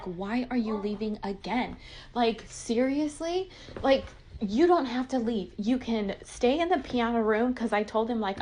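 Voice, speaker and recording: A woman's voice: on the high side, slight background noise. Emotional, venting woman telling personal stories. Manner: emotional, venting, says "like" constantly; passionate, emphatic. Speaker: online content creator. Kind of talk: candid personal storytelling.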